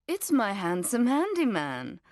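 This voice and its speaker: A woman's voice: mid-range voice, huge pitch swings, a little mellow. An actress playing a poised, commanding and dryly seductive Yakuza woman in a video game cutscene. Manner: controlled, poised, deliberate.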